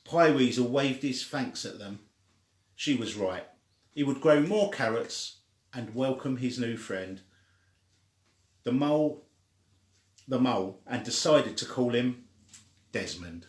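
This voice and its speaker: Male story reader, mid-pitched, leisurely and informal delivery, echoing room sound. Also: expressive, slow pace, pauses often. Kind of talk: children's story read aloud. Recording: echoey room.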